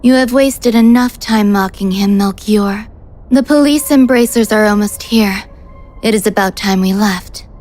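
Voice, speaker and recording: Woman playing a stern, impatient villain's accomplice, mid-pitched. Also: a little slow, a little mellow, faint music behind, close to an everyday voice. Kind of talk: video game voice acting, English dub.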